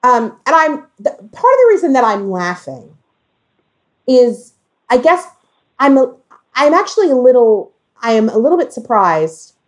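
A woman in a casual discussion, speaking haltingly as she thinks aloud and corrects herself. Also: on the high side, sing-song. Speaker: podcast discussion guest.

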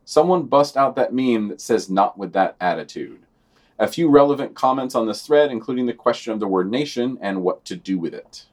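Husky-voiced man reading podcast news. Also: medium pitch, expressive, moderate pace. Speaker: podcast co-host. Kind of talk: reading news digest with brief comments.

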